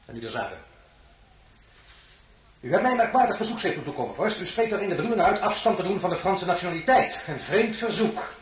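An actor playing an imperious, mocking French emperor in a radio drama, theatrical and commanding, with pointed pauses. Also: male voice, high voice, very animated, a little hiss or hum. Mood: stern, cajoling. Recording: roomy, echoing studio sound.

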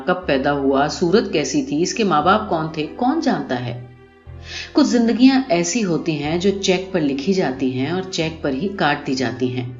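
A woman reading a short story aloud in an expressive, scripted narration style. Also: low voice. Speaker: audiobook narrator. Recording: muffled, roomy recording with music behind. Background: music.

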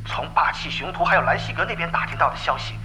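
Man acting a hesitant, high-voiced underling. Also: fast, lightly acted. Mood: deferential. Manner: hesitant, stammering. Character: deferential, hesitant male underling reporting to his captain. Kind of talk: audio drama acting. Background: music.

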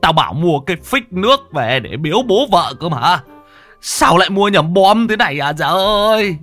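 A man voicing a panicky, bumbling young man who stammers and wails in a high whimper. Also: big pitch swings, moderate pace, pushed and bright, faint music behind, exaggerated voice. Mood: dismayed.

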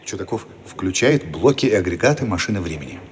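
A man's voice: sits low, very animated, fairly quick. A man reading stage directions as the narrator of a radio play. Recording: faintly noisy archive radio recording.

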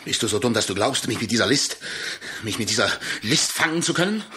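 An actor playing a sullen, suspicious jungle hunter with brooding, accusing delivery in a German radio play. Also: male voice, mid-range voice, animated, fairly quick, pushed and bright. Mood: angry, accusing.

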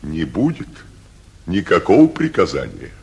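Halting, terrified provincial judge. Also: male voice, deep voice, huge pitch swings, vocal fry, a little mellow, slightly rough, exaggerated voice. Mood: servile, nervous. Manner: halting, servile, muttering anxious asides. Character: timid, terrified provincial judge, obsequious petty official. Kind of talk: classic Russian stage comedy acting. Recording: muffled 1949 radio theatre recording.

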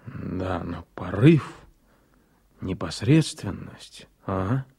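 An actor playing an experienced surgeon in a radio drama, kindly and gently ironic, a little flustered and musing. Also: male voice, low-pitched, animated, slow pace, creaky, breathy edge, full character voice. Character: experienced male surgeon, kindly, self-mocking, smitten, slightly flustered. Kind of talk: Soviet radio drama acting.

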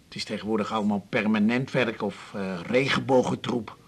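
A man's voice: on the low side, steady tone, fairly quick, breathy edge. An actor playing a chatty, kindly, old-fashioned elderly male patient in a radio drama, folksy and rambling. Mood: fond. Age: older.

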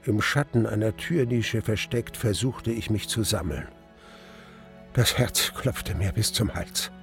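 An actor playing a reflective older man narrating his memories in a radio drama, literary, deliberate and gravelly. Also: lowish voice, soft background music. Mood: tense, hopeful.

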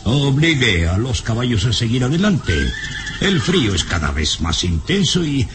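An actor playing a weary, grumbling elderly professor, fretful and hesitant, in an old radio serial. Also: male voice, mid-range voice, very animated, normal speed, fairly bright tone. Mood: fretful, complaining. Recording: slightly noisy old radio serial recording.

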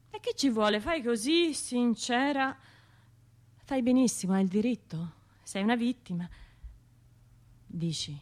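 An actress playing a troubled, hesitant bombing survivor in a radio drama monologue, speaking in a halting, self-questioning, conversational way. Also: female voice, mid-range voice, a little mellow, lightly acted. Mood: self-mocking.

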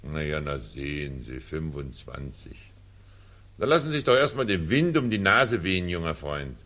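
An actor playing a pompous, evasive cabaret director in a 1940s radio drama, talking in a condescending, theatrical, patronizing way. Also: male voice, rumbling low, very animated, moderate pace, bright, forceful tone, full character voice.

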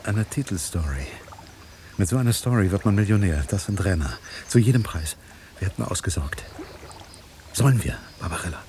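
An actor playing a frustrated, skeptical investigative journalist in a German radio play, speaking in probing, clipped questions. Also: male voice, low voice, lively intonation, moderate pace, pauses often, warm tone, a little hiss or hum. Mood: urgent, brooding.

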